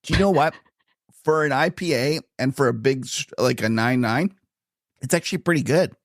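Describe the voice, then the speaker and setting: Chatty Canadian podcast co-host, dry sound. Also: male voice, medium pitch, dry recording. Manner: chatty, enthusiastic, quick to laugh. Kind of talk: casual podcast chat and interview.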